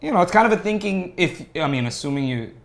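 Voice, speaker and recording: A man's voice: high-pitched, very animated, fast, vocal fry. A man talking casually as a podcast guest, giving emphatic opinions in an informal way. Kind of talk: casual discussion.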